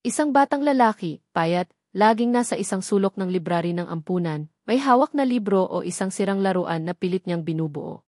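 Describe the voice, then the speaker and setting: A woman's voice: medium pitch, expressive, brisk, a little mellow, dry recording. Filipino woman narrating drama, dry studio sound. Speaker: story narrator. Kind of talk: dramatic first-person scripted narration in Tagalog fiction.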